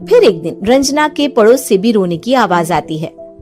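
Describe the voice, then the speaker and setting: A female storyteller with a high voice reads a scripted tale expressively. Her narration is dramatic, and light music runs underneath. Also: big pitch swings, moderate pace, a little mellow.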